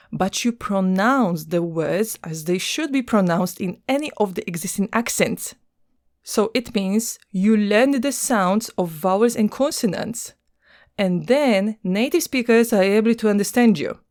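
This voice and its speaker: A woman from Poland with a Polish accent, hosting an educational podcast and explaining in a clear, teacherly way with occasional fillers. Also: medium pitch, a little mellow. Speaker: podcast host, language teacher.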